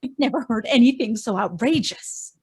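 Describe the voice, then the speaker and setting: A woman's voice: fairly high pitch, lively intonation, moderate pace, husky. A woman playing a dramatic, scheming sister in old-time radio mystery style. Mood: indignant. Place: live video call at home. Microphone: video call.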